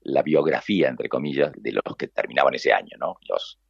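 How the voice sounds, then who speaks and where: An older man from Argentina, a radio announcer with a deep booming voice and an Argentine Spanish accent, telling stories from his life in a relaxed conversation. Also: fairly quick, vocal fry, husky, no echo, highs cut off. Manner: warm reminiscing, acts out quoted voices. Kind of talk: conversational storytelling.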